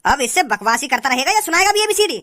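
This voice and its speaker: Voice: high-pitched, big pitch swings, fast talker, pushed and bright, full character voice. A performer voicing a strict male schoolteacher in a comic cartoon, with a stern and impatient manner.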